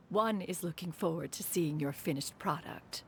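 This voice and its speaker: A woman's voice: fairly low pitch, a little slow. A woman voicing a proud, ageless bird immortal in a game cutscene, formal and haughty yet caring. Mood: approving. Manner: formal, stately, refers to herself as one. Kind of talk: video game cutscene acting. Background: music.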